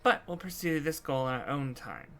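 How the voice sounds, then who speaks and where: A man's voice: on the high side, animated, normal speed, sharp tone. Young scholar adventurer voiced by a man, articulate, earnest game dialogue over music. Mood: diplomatic, earnest. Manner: formal, articulate. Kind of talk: scripted game dialogue read-through.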